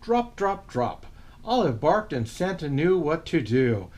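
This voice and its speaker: Guy reading a kids picture book, expressive, takes his time, slightly high voice that swoops around a lot. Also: measured pace. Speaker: storyteller. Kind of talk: children's picture book read-aloud.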